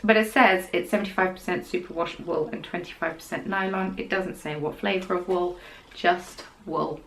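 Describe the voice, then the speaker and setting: A young woman hosting a podcast, chatting casually about her knitting in a rambling way and dropping into quiet, whispered asides. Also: on the low side, steady tone, normal speed, a little hiss or hum, rough recording. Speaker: podcast host.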